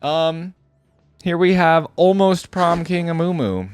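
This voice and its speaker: A man's voice: a little high, takes it slowly, soft background music. A man giving casual, off-the-cuff reaction commentary, like a video creator talking through what he sees.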